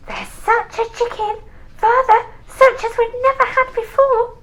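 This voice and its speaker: A woman playing a sweet, excited small boy in an audio play. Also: very high voice, a little slow, rough recording.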